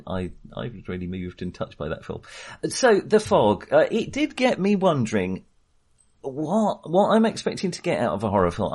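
A man's voice: lowish voice, husky. A middle-aged man casually discussing film as a podcast co-host, hesitant and thoughtful, circling back on his phrases.

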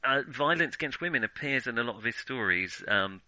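A man's voice: medium pitch, expressive, fairly quick, sharp tone, dry recording, rough recording. A man in a casual film discussion as a podcast host, talking in a hesitant way and often qualifying what he says.

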